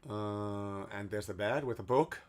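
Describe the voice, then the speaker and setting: A hoarse man casually commenting while playing a game on stream, rambling loosely and swearing now and then. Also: lowish voice, a little slow. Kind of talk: casual let's-play commentary.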